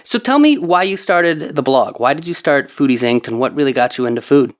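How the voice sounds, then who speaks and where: A man's voice: medium pitch, animated, brisk. A man hosting a food show, guiding a guest with upbeat questions. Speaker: podcast host. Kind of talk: hosted food interview.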